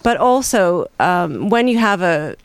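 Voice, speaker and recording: Canadian-accented author, calm and reflective, clean and resonant delivery. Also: mid-range voice, clear, resonant tone, dry recording. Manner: measured, reflective. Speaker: author guest. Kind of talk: interview answers.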